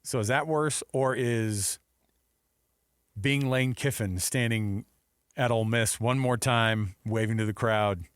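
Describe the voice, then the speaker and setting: A man's voice: medium pitch, slow, clean tone, no echo, studio quality. A man co-hosting a sports radio show with casual, sarcastic banter and a joking manner. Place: radio studio.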